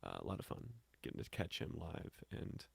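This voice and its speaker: An American man from St. Louis telling casual stories as an interview guest, in slangy, animated talk. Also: deep voice, moderate pace, gravelly, husky, no echo. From St. Louis, Missouri, United States. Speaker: podcast guest, singer and musician. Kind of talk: casual conversational storytelling.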